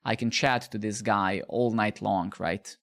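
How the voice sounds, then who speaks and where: Gravelly man. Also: fairly low pitch, steady tone, unhurried, a bit bright. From Bosnia. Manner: chatty, restarts phrases, answers viewers' questions. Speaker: live coding streamer. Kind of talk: casual live-stream chat while coding.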